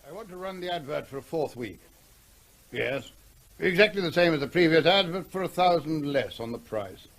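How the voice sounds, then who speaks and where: An actor playing a flustered, blustering middle-aged major and councillor in a radio drama. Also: male voice, a little high, lively intonation, normal speed, a bit bright, rough recording. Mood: businesslike. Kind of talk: 1970s radio drama acting.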